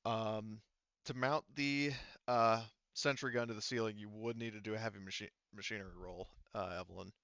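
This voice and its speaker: A man running a tabletop roleplaying game, explaining casually and thinking out loud as he goes, often repeating himself. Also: fairly low pitch, steady tone, moderate pace, clear, resonant tone, dry recording, muffled recording. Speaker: game master.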